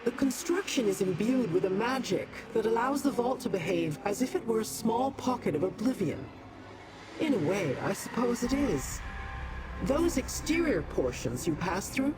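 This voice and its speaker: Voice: medium pitch, very animated, unhurried, music in the background, low-quality recording. An actor playing a haughty, imperious otherworldly immortal in a fantasy video game, formal and condescending toward mortals. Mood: lofty, explaining.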